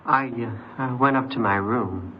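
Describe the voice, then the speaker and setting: A man's voice: medium pitch, a little slow, very noisy, natural voice. An actor playing a naive, boastful American runaway teenage boy who poses as a soldier, hesitant and defensive, in an old-time radio crime drama. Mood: confiding, subdued. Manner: hesitant, defensive, eager to seem grown-up. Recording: dull vintage radio recording, music behind.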